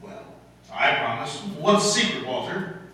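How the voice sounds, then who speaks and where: A man plays a timid, courteous schoolteacher in a radio comedy, with a high, bright voice. He sounds distant, echoing in a hall. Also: animated, measured pace, a little hiss or hum. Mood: curious. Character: timid, polite male high school teacher. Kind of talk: old-time radio comedy acting. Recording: echoey hall, distant mic.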